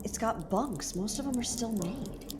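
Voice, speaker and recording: A woman's voice: on the high side, animated, unhurried, darkish tone. An actress playing a spooked, irritable young woman investigating haunted ships in an audio drama, with jumpy, sarcastic delivery. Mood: wary, sarcastic. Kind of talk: audio drama acting, naturalistic dialogue. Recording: clearly noisy, with background ambience.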